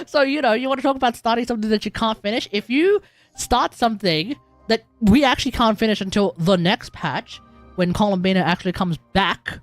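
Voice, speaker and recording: A woman's voice: on the high side, no echo. A woman streamer chatting casually as she wraps up a stream, rambling with lots of "like" and laughing. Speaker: game streamer.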